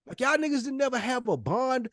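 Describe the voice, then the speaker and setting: A man's voice: very high voice, expressive, brisk, fairly bright tone, dry recording, studio quality. A man co-hosting a podcast, talking casually with plenty of slang in a blunt, questioning manner. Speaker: podcast co-host.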